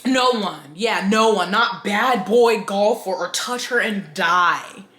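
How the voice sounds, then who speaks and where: A woman's voice: medium pitch, slow, a bit bright. Female book commentator, mid-range voice, casual and chatty with incredulous asides; noticeably echoey recording. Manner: wry, incredulous, conversational asides.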